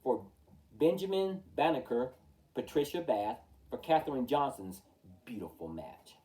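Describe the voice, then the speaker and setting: A man reads a children's picture book aloud from some distance off the mic. His pitch swings widely as he stresses the rhymes, in a mellow, relaxed tone with a steady rhythmic emphasis. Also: measured pace. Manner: rhythmic, emphatic delivery of rhyming verse. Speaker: storytime reader.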